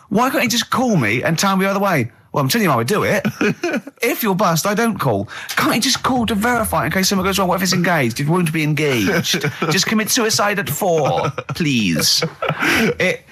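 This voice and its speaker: A man hosting a radio show with casual comic banter, animated and quick to act out dialogue. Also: high voice, slightly rough. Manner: animated, breaks into laughter, acts out dialogue. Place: radio studio.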